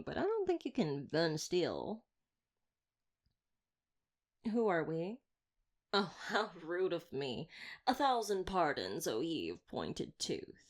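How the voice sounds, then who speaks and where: Woman as flirtatious female vampire hunter, lowish and very animated, wordy and playfully theatrical, for roleplay audio. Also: exaggerated voice. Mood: teasing. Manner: wordy, playful, teasing, mock-grand delivery. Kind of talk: ASMR roleplay audio drama addressed to listener.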